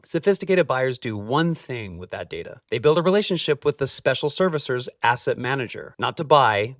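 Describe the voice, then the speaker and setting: Guy doing a scripted business explainer, punchy emphatic delivery w/ pauses, dry room, bit back from the mic. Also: medium pitch, no echo, far from the mic. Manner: emphatic, punchy short phrases with deliberate pauses. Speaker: host, capital-raising adviser. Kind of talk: scripted explainer, direct to listener.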